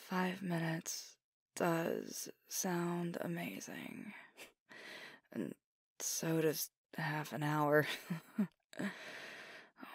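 A woman's voice: low-pitched, gravelly, husky, dry recording, exaggerated voice. A woman voicing a sleepy young werewolf girlfriend in an ASMR roleplay, talking in drowsy half-whispers with hesitations.

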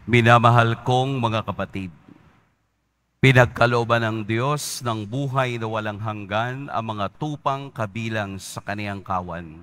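Priest, man, unhurried measured preaching at mass. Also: lowish voice, a bit bright. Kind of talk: homily and mass prayers.

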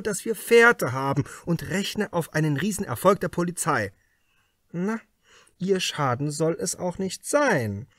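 A man's voice: on the high side, a bit bright, no room sound, clean studio sound, voice pushed up, heavily acted. A man voicing an agitated, impatient male police superior with an urgent, blustering manner in an audiobook. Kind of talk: audiobook character dialogue.

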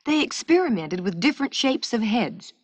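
A woman reading scripted story narration for an educational film strip, with a clear storytelling delivery. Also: fairly high pitch, big pitch swings, normal speed, dry recording.

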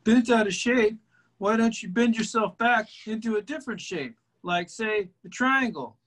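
A man's voice: high voice, moderate pace, fairly bright tone. A man reading a goofy prankster bar regular in an amateur table read over a video call, teasing in a silly sing-song way.

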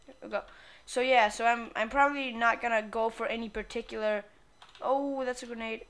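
A woman's voice: medium pitch, fairly bright tone, clean tone. A woman gamer giving casual commentary on a playthrough in a crude, sweary, playful way.

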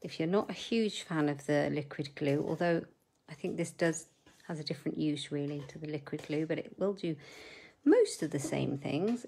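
A woman's voice: sits low, gravelly, a little mellow, a little hiss or hum. A middle-aged woman hosting a live crafting demo from her desk at home, chatting with viewers and muttering asides as she works. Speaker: papercraft host.

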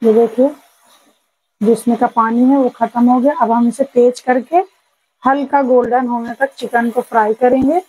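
Soft-toned woman teaching a home recipe. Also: fairly high pitch, steady tone, normal speed, slightly rough, rough recording. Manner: patient, repeats "ye dekhiye friends" often. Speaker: cooking channel host. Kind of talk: step-by-step cooking instruction. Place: home kitchen.

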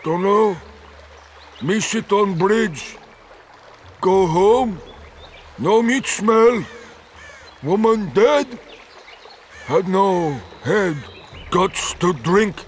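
A man's voice: high voice, lively intonation, very slow, pauses often, slight background noise, heavily acted. A man playing a drunken, simple-minded troll in a video game, speaking in broken, childlike sentences. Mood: drunk, mournful. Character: drunken, grieving male troll guarding a bridge. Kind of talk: video game character acting.

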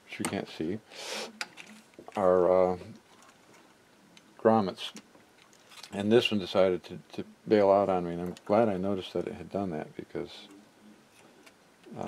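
A man narrating a hands-on repair casually, haltingly thinking aloud as he works. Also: low voice, gravelly, mellow, slight background noise. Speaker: repair hobbyist and video maker. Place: indoor cluttered electronics workbench.

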